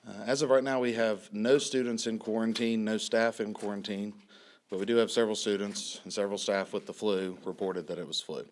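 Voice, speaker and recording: A man in his thirties, a high school principal, giving a report at a school board meeting while a little hoarse from a cold, clearing his throat often. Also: steady tone, fairly quick. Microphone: podium microphone.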